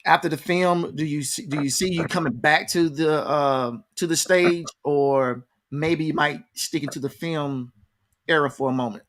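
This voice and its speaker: A man's voice: fairly high pitch, normal speed, a bit bright. A man working as an interviewer, asking casual questions with hesitant, repeated phrasing.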